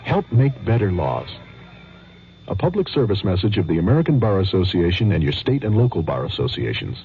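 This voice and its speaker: Male PSA announcer, lower voice, lively and emphatic, through a thin, phone-like old radio spot. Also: a little fast, slight background noise. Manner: emphatic, punchy phrasing. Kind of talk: public service announcement.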